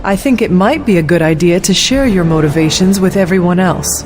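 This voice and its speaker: Actress as a solemn, formal warrior hunter. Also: female voice, lowish voice, over music, natural voice. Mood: probing, calm. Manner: formal, measured, deliberate. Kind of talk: video game cutscene acting.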